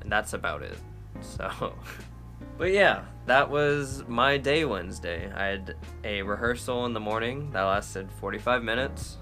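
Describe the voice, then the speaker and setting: A young man chats into a phone held at arm's length, his voice bright and clear. He rambles in a relaxed, self-amused way. Faint music plays behind him. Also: lowish voice, unhurried. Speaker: cruise ship musician vlogger. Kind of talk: casual vlog chat. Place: outdoors on a sunny street, later a small cabin. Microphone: phone camera at arm's length.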